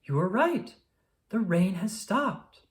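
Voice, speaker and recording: Reader acting out childlike male toad, storybook animal, excitable, grumbling, exclaiming, highly animated pitch. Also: takes it slowly. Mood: delighted.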